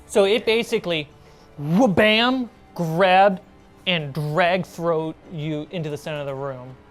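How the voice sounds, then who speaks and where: Low-pitched woman running a tabletop game. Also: a little slow. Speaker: game master. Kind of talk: narrating a tabletop game, casual. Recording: music behind the voice. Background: music.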